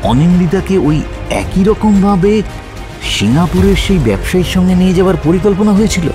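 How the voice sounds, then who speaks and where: Male actor, court judge, formal, measured questioning, fairly high pitch, audio drama, clear music underneath. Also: close to an everyday voice. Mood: grave, questioning. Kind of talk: Bengali audio drama acting.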